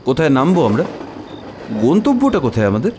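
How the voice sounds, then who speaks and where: An actor playing a questioning young college student in a horror audio drama. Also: male voice, medium pitch, sing-song, fast, mellow, slight background noise, lightly acted. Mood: curious.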